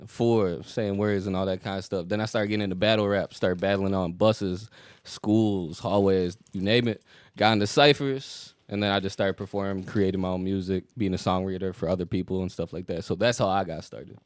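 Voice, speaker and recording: Young male rapper guest, low voice, very dry close sound. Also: steady tone, brisk, no echo. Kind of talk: casual group chat. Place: living room with large couch. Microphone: handheld mic.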